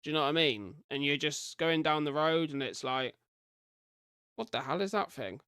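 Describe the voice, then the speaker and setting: A man's voice: on the high side, animated, moderate pace, sharp tone, clear, resonant tone, dry recording, clean studio sound. A British man doing informal live reaction commentary as a streamer, reading captions aloud and adding chatty asides. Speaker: streamer reacting to horror videos.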